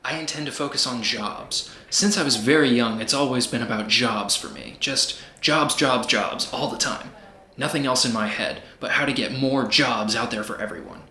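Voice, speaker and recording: An actor playing a smug, flirtatious, evasive presidential candidate in a comedic audio drama interview, speaking in glib repeated slogans. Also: male voice, mid-range voice, normal speed, bright, forceful tone, close to an everyday voice. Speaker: politician being interviewed. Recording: roomy echo, faint background noise.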